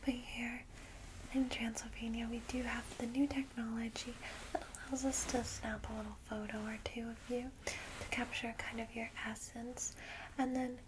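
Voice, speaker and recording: A woman whispering softly and soothingly in an ASMR roleplay as a caring, old-fashioned vampire guide, in a breathy voice. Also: fairly high pitch, flat delivery, noisy background. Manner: gentle, soothing, slow reassurance.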